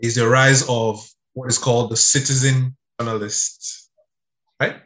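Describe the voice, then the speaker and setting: A man's voice: mid-range voice, level tone, unhurried, a bit bright, echoey room, rough recording. A man co-hosting an interview, asking long, hesitant questions full of fillers. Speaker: interview co-host.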